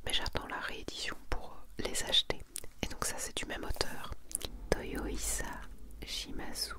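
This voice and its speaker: A woman speaking in a soft, breathy ASMR whisper, hushed and intimate, presenting and reading aloud in a casual way. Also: normal speed. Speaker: ASMR creator presenting a manga collection.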